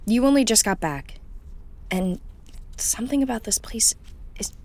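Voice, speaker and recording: An actress playing a worried, gentle woman in an audio drama, speaking hesitantly and with concern. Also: fairly high pitch, expressive, normal speed. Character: worried, gentle woman pleading with loved investigator.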